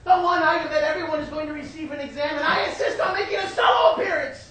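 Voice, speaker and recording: A woman's voice: high voice, steady tone, fast talker, a crowd in the background, pitched above the performer's own voice, close to an everyday voice. A woman playing an aggrieved, self-pitying older mother in a stage monologue, melodramatic and wounded, guilt-tripping shrilly. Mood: indignant. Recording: echoey stage recording, distant mic, noisy.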